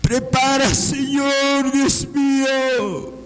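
Very high-pitched man pleading in prayer. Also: steady tone, very slow. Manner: pleading, impassioned, with repeated invocations. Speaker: worshipper leading prayer. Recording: long echoing hall reverb.

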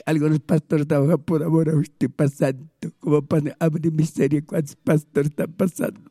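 A man's voice: fairly high pitch, flat delivery, moderate pace, warm tone, dry recording, clean studio sound. A man with a Peruvian Spanish accent praying aloud as a pastor, pleading fervently with repeated invocations. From Peru. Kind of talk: fervent spoken prayer.

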